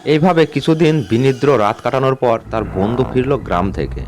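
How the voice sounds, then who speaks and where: A man narrating a scripted ghost story in a measured, suspenseful way. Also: medium pitch, animated, darkish tone, slight background noise. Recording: music bed under the voice. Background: background music.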